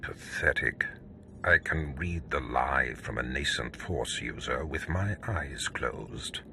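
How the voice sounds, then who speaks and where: A man playing a stern, contemptuous overseer in a video game, speaking in imperious, deliberate pronouncements. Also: extremely low pitch, expressive, sharp tone, faint music behind, exaggerated voice. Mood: menacing contempt. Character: stern, contemptuous Sith overseer, a cold commanding male master. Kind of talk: video game cutscene acting.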